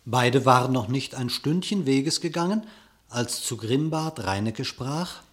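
A man's voice: mid-range voice, animated, unhurried. A man narrating a verse fable in a dramatic, declamatory literary style.

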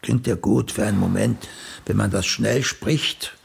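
A male actor in a German radio play, playing an older celebrated playwright who is a condescending, bluntly critical mentor with a gravelly, husky voice. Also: lowish voice, fairly even intonation, a little slow, full character voice. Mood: scathing, condescending.

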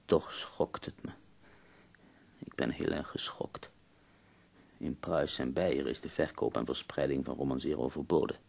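An actor in a radio play voicing an ailing, embittered nineteenth-century poet with formal, ironic, deliberate speech. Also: male voice, very deep voice, fairly even intonation, darkish tone, heavily acted. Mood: shaken, grave. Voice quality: gravelly, husky. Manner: formal, deliberate, articulate, wry. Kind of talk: Dutch radio play acting.

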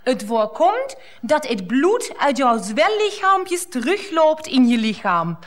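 An actress playing a brisk, bossy, easily flustered woman lover in a comic radio play. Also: high voice, sing-song, heavily acted.

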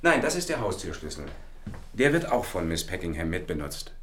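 An actor playing a shaken, earnest physician who explains himself a little hesitantly in a German radio drama. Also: male voice, deep voice, sing-song, brisk, a bit bright, a little hiss or hum. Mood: earnest, uneasy.

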